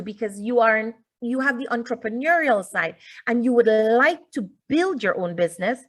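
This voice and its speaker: Female teacher-presenter, native English speaker, slightly high voice, informal explanatory lecture over a video call. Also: a bit bright. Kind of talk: informal online lecture, addressing audience. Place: indoors, online video call.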